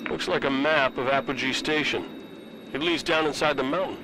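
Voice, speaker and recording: An actor playing a wry, observant soldier in a video game squad, with a matter-of-fact manner. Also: male voice, a little high, brisk, noisy background, close to an everyday voice. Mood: dry, alert. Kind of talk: video game cutscene acting.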